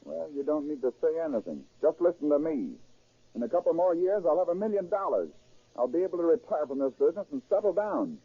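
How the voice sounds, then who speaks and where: A man's voice: fairly high pitch, very animated, fast talker, vocal fry, husky, exaggerated voice. An actor playing a brash, cajoling crook who persuades his brother, in a 1930s radio drama. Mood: coaxing. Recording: tinny, scratchy 1930s radio recording.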